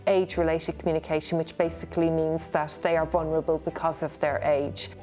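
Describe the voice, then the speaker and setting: A woman working as an intermediary, explaining her work in a measured interview style. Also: low-pitched, level tone, mellow. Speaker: witness intermediary. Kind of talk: interview talk about own work. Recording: faint music behind.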